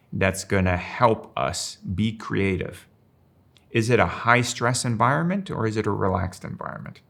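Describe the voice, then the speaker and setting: A man's voice: fairly low pitch, vocal fry, a bit bright. A man in his sixties from Finland, raised in Italy, speaking reflectively in an interview and explaining ideas thoughtfully. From Finland, raised in Rome, Italy. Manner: thoughtful, explanatory, poses rhetorical questions. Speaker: strategic designer and professor of practice.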